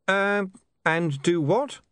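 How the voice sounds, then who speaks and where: A man's voice: high-pitched, animated, slow, a bit bright, clear, resonant tone, dry recording, studio quality, pitched above the performer's own voice, full character voice. A man reading the part of a polite, earnest young newspaperman who hesitates but keeps probing. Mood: hesitant, polite. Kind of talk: audiobook character voice.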